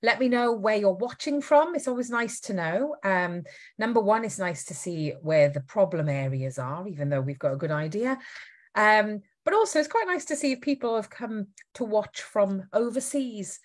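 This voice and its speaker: A woman from Wales with a faint Welsh accent, hosting a casual live stream, chatty and lively as she greets viewers and reads out their comments. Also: medium pitch, normal speed.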